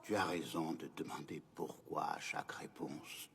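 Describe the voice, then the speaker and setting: A man voicing a wise old grandfather in an animated film, kindly and solemn, explaining patiently in a husky, breathy voice. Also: very deep voice, normal speed, heavily acted. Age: older.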